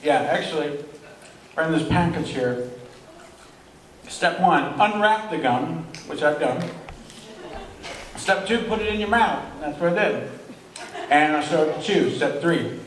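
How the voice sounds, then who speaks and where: A man acting an eager, daft food-fad reviewer in a radio comedy skit. His voice is high and swings freely, and it comes from off the mic in an echoey room. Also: expressive, a little slow, pauses often. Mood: eager, earnest. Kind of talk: amateur old-time radio show skit. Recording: echoey room, distant mic, faint noise.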